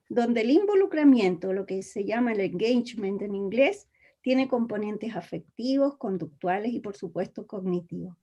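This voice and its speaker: Measured Chilean woman, mellow voice. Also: on the high side. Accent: Chilean Spanish. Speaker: university department director. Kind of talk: formal opening remarks.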